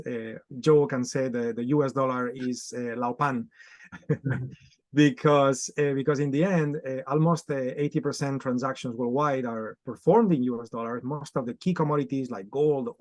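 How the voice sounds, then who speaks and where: A man speaks as a guest trader over a video call, laying out his points step by step. He fills pauses with "uh" and restarts often. His voice sits mid-range, recorded dry and close. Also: mid-range voice, no room sound. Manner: explanatory, frequent fillers and restarts. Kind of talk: podcast interview.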